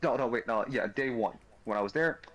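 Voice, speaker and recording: A man's voice: mid-range voice, brisk, a bit bright. A man from Kerala with a Bangalore accent, a caster speaking earnestly on a gaming panel discussion. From Kerala, India.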